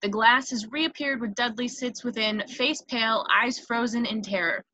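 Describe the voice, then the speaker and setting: Bright, high-voiced woman narrating a script. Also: normal speed, no room sound. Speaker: stage-direction narrator. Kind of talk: scripted narration of a screenplay, with small stumbles. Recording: noisy home recording with clicks.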